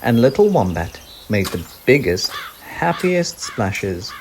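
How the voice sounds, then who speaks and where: A man narrating a children's story in an expressive, gentle, deliberate way. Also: lowish voice, background noise. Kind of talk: children's read-aloud narration. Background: crickets, animal and nature sound effects.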